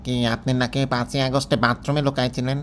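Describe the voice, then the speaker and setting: A man's voice: medium pitch, flat delivery, fast, slight background noise, natural voice. A man with a Bangladeshi accent playing a sarcastic, exasperated interviewer who scolds and mocks in a colloquial satirical phone-call sketch. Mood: incredulous. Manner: scolding, mocking, incredulous.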